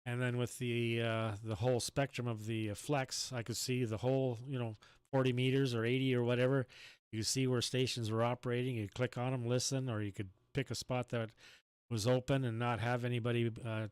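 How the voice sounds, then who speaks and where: Halting man chatting, clean clear voice. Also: medium pitch, level tone, dry recording. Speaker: amateur radio operator. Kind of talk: casual chat over ham radio.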